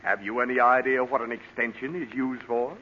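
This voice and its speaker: A man's voice: mid-range voice, steady tone, fairly quick, pushed and bright, natural voice. A man playing a pompous, insistent, easily riled doctor in 1940s radio comedy, officious, formal and emphatic. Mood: officious, impatient. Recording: muffled vintage 1940 radio recording, faint noise.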